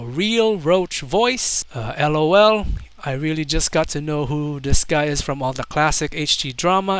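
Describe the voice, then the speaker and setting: A man's voice: fairly high pitch, fairly bright tone, clean tone, dry recording. A man doing casual video commentary, reading out online comments in an amused, mocking way.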